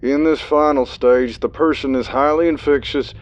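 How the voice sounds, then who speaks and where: A man's voice: medium pitch, steady tone, normal speed, lo-fi sound, pitched above the performer's own voice, lightly acted. A man voicing an older male official who announces in a formal, bureaucratic way.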